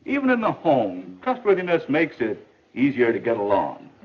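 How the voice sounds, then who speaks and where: A husky patient dad. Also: male voice, medium pitch, wildly swooping pitch, moderate pace. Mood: reassuring. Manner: gentle, reassuring, lecturing. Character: patient father gently advising his son. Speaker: father. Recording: dull old film soundtrack.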